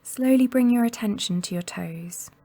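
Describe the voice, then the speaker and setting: A woman guiding a meditation in a gentle, soothing and unhurried manner, leaving long pauses between instructions. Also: fairly high pitch. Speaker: mindfulness meditation guide. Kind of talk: guided body scan meditation narration.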